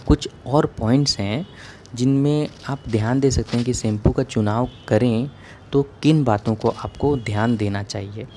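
A man's voice: medium pitch, darkish tone, a little hiss or hum. A man giving a plain, methodical educational explanation as a doctor, point by point. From India. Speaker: dermatologist giving hair-care advice.

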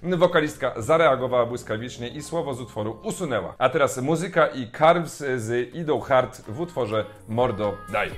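A man's voice: medium pitch, animated, moderate pace, few pauses, over music. Young man presenting culture news. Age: young adult. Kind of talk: scripted culture news segment. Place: indoor room with plain wall.

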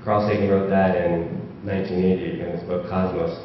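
Deep-voiced man in an echoey hall. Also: level tone, normal speed, background noise. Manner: hesitant with many ums, rising and louder when quoting. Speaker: audience member. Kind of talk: public comment reading quotes aloud. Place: large auditorium. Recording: echoey hall from far off, dull.

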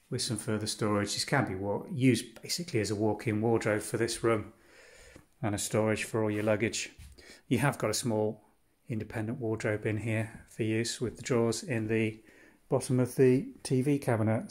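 A man showing visitors around his holiday cottage, describing each room in an unhurried, itemizing way as he walks. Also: medium pitch, a little mellow. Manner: unhurried, descriptive, pausing between items. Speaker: holiday cottage owner giving a tour. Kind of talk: guided walk-through house tour. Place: inside a cottage, walking room to room.

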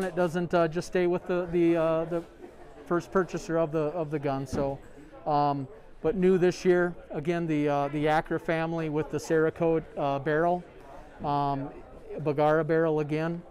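A middle-aged man, a sales rep, showing products in a large store, talking in a halting way full of ums and uhs. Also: on the high side, little pitch movement, a little mellow. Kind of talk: product demo. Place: large sporting goods store, indoors.

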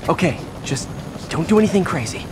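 A man's voice: on the high side, lively intonation, fairly quick, background noise, natural voice. An actor playing a quippy, earnest young male superhero who talks to himself in wry asides.

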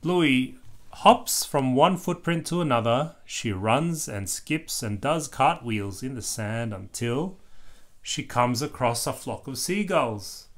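A man reads a children's story aloud at an unhurried pace. His pitch moves widely and expressively, and he breaks off for casual explanations and little questions to the child. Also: animated. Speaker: parent reading aloud to a child. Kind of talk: picture-book read-aloud with casual asides.

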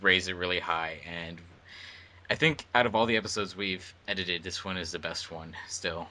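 A man talking casually and haltingly as a commentary co-host. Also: deep voice, steady tone, bright, forceful tone. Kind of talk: casual group commentary chat.

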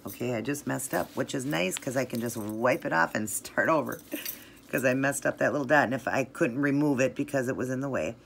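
A woman's voice: rumbling low, brisk, gravelly, slight background noise. A middle-aged woman hosting a nail art tutorial, chatting eagerly through each step and gushing about colors. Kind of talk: casual step-by-step tutorial narration.

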